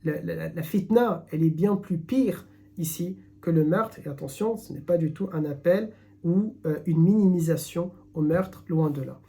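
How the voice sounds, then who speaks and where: A man's voice: a little high, moderate pace, gravelly, warm tone. A man giving an earnest religious lesson in French, emphatic and repeating himself as he searches for words. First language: French speaker, not Arabic. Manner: earnest, emphatic, many restarts. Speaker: Islamic teacher. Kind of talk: live-streamed religious lesson.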